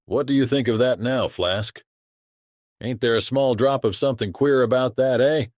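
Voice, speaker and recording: A man's voice: medium pitch, moderate pace, a little mellow, no room sound, clean studio sound, natural voice. A man playing a chatty sailor who tells a yarn to his shipmate in colloquial, exclamatory audiobook acting. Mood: confiding wonder.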